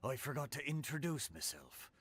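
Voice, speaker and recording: Raspy, swooping, boastful talking boat. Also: male voice, mid-range voice, very animated, normal speed, a bit bright. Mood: jaunty, bragging. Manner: hearty, boastful, colloquial sailor talk. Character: jaunty talking boat, boastful seafaring rogue. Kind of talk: video game character acting. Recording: music behind the voice.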